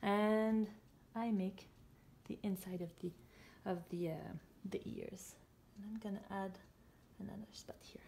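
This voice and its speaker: A woman in her thirties talks children through a drawing in short, simple phrases. Her voice sits at an average pitch with a noticeable creak. She speaks as an illustrator demonstrating while she works. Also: steady tone, measured pace, dark tone, a little hiss or hum.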